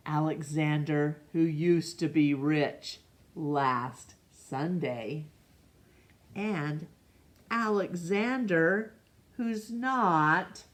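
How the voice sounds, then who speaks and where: Female storytime host for kids, lowish pitch, very unhurried, deliberate phrasing. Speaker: storytime host reading to children. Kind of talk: expressive picture book read-aloud.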